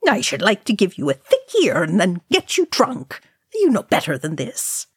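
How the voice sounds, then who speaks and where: A woman's voice: medium pitch, wildly swooping pitch, moderate pace, slightly rough, no room sound, clean studio sound, heavily acted. A woman voicing an indignant older male dwarf who scolds and mutters retorts in a fantasy story. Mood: indignant, sad.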